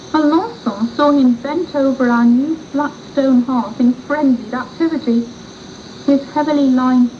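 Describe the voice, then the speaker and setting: A woman with a fairly high, very mellow voice reads a dramatised first-person story. Her delivery is formal and literary, on a muffled old 1980s radio tape. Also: slight background noise. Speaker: amateur player reading a radio story.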